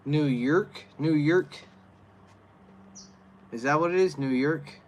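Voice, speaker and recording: A man's voice: a little high, takes it slowly, darkish tone, a little hiss or hum. An American man hosting a livestream, answering viewer comments in a rambling, casual way full of fillers and restarts. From USA. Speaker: livestream host.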